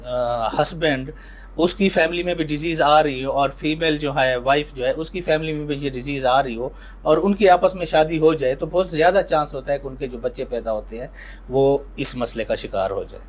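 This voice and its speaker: A man with a Pakistani accent answering interview questions as a doctor, explaining in measured phrases with pauses and some English medical terms. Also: on the high side. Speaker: doctor, ENT surgeon being interviewed. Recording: noticeable background ambient noise.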